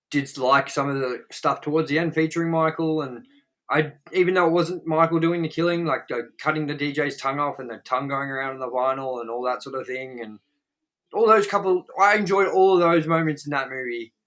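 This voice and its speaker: A man's voice: medium pitch, a bit bright, low-quality recording. A man chatting casually as co-host of a film review show, blunt and sweary, repeating words for emphasis.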